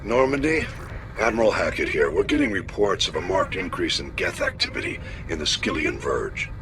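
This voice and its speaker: An actor playing a gruff older admiral in a video game, giving clipped, authoritative military briefings. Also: male voice, low voice, huge pitch swings, normal speed, noisy background, heavily acted. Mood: stern, businesslike. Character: gruff, commanding older male navy admiral. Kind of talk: video game dialogue acting.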